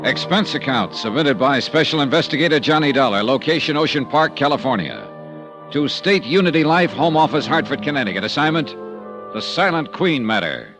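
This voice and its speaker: A man plays a tough insurance investigator from an old radio crime drama, blunt and clipped as he questions people. His pitch moves around a lot within a mid-range voice, and music runs beneath the dialogue. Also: very animated, brisk, close to an everyday voice. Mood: wry. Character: terse, hard-boiled male insurance investigator. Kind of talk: 1950s radio drama acting.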